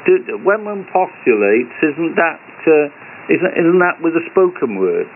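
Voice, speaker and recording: A man's voice: a little high, big pitch swings, unhurried. An older man with a British accent chatting casually over ham radio, hesitant, wry and teasing. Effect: ham radio transmission. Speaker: amateur radio operator. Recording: tinny shortwave radio link with hiss.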